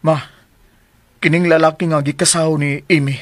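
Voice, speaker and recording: A man's voice: on the high side, little pitch movement, normal speed, soft background music, natural voice. A man in a Visayan Filipino radio drama playing a composed, resolute young adult son. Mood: calm, resolute. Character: composed, resolute grown son set on leaving his partner. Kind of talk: broadcast radio drama acting.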